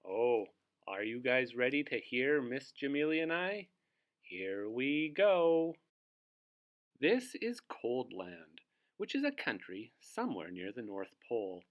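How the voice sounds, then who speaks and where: Guy reading a picture book to little kids, super expressive swoopy pitch, slow pace. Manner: theatrical, addresses young listeners with questions. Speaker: read-aloud host for young children. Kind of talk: storybook narration for young children.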